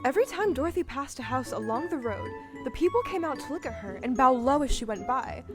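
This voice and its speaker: A woman narrating a storybook tale in a scripted, measured way for an audio play performed on stage. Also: high voice, lively intonation, music in the background. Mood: storytelling.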